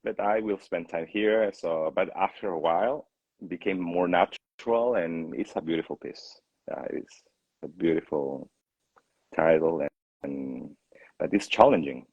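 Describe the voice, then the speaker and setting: A man from Chile with a Chilean Spanish accent and a husky voice, answering questions as an opera singer in a casual interview. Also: sits low, a little slow, darkish tone, dry recording, lo-fi sound.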